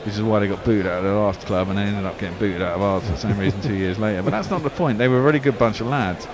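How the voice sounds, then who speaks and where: A man's voice: lowish voice, very fast, a crowd in the background. A man giving casual ball-by-ball radio commentary on cricket, rambling into anecdotes between deliveries. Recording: background noise.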